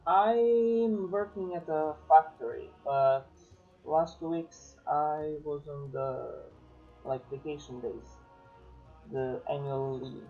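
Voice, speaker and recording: A man from Serbia streams, talking very slowly and hesitantly as he thinks aloud. His voice sits a bit high, and the mic sounds slightly dull, with faint game music underneath. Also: dark tone. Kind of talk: casual stream chat.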